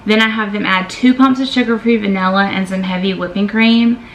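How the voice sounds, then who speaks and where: A woman's voice: medium pitch, fairly even intonation, fairly quick, roomy sound, a little hiss or hum, low-quality recording. A woman, chatting candidly as she reads out questions and answers them in a vlog. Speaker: vlogger. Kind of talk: casual Q&A vlog.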